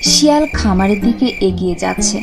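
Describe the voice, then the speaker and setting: A woman's voice: medium pitch, animated, moderate pace, gravelly. A woman telling a scripted children's story as the narrator of a cartoon. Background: music.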